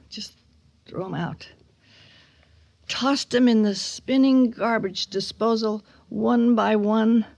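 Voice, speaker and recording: An actress playing a bitter, haunted middle-aged mother in a radio drama, speaking haltingly and with raw emotion. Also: medium pitch, a little mellow, no echo, lightly acted. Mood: wistful, brooding. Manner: halting, breaking into whispers and cries. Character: bitter, haunted mother of a son she fears. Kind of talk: dramatic radio monologue acting.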